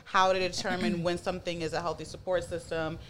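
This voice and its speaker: A woman's voice: fairly low pitch, flat delivery, brisk, fairly bright tone, slight background noise. A woman guest on a podcast, talking in a laid-back, slangy way in casual chat.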